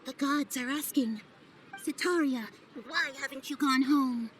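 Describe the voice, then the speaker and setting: A woman's voice: sits high, a little slow, fairly bright tone, slight background noise. A woman playing a fortune teller in a game cutscene, posing with hesitant solemnity as the mouthpiece of the gods. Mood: solemn bluffing.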